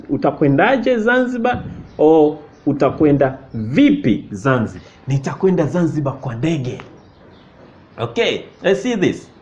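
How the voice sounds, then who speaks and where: A man walks a class through a lesson, moving about as he writes on a whiteboard. He speaks slowly and repeats every phrase, his fairly high voice rising and falling a great deal. The classroom sound is dull and lo-fi, picked up by a camera mic from mid distance. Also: a little mellow. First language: Swahili. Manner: slow, deliberate, repeats each phrase; moves around while writing on the whiteboard. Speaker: Swahili teacher. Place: indoor classroom.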